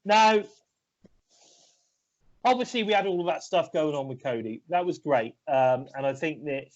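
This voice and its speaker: A man's voice: on the high side, lively intonation, moderate pace. A British man with a cold, co-hosting a casual wrestling chat, rambling and restarting his sentences. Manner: rambling, hesitant, full of restarts. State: bunged up with a cold. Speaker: podcast co-host. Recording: dull lo-fi sound.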